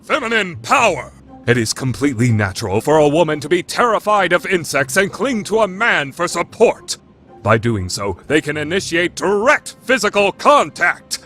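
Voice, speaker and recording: A man's voice: a little high, big pitch swings, normal speed, bright, forceful tone, faint music behind. A man playing a bombastic, deadly serious narrator in an anime radio play. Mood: grandly serious. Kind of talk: dramatic narration for anime fan dub.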